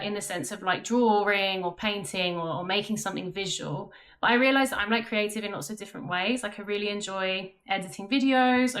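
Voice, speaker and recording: A woman's voice: medium pitch, fairly bright tone. Chatty young woman vlogging. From London, England. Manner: self-conscious, chatty, many fillers. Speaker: vlogger and web developer. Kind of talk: casual talk to camera. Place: bedroom at home.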